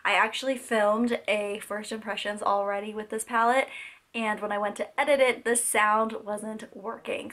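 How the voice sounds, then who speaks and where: A hoarse young woman getting over a cold, chatting casually through a makeup tutorial at home, rambling with lots of fillers and restarts. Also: mid-range voice, fairly bright tone. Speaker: beauty vlogger.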